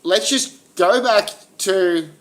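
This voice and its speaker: Man, high pitch, insistent, frustrated, arguing. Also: takes it slowly. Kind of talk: heated phone argument.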